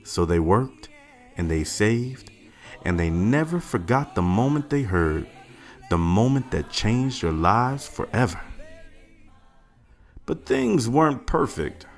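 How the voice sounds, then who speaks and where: A man reading a father's part in a family picture-book read-aloud, telling his little daughter their family's history in a slow, expressive way. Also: fairly low pitch, full character voice. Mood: storytelling. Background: faint added music.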